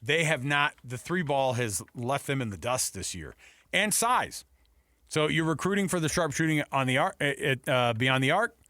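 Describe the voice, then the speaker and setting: A man's voice: mid-range voice, expressive, moderate pace, pushed and bright, no room sound, studio quality. An American man co-hosting sports talk radio in a casual, conversational way with rhetorical asides.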